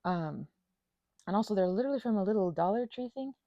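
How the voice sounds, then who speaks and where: Anxious, whimsical woman with creaky voice. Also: medium pitch, expressive, no echo, muffled recording. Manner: theatrical, playful whimsy; halting, tangent-prone. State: anxious, panicky; unwell. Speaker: ASMR channel host. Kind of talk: casual rambling vlog.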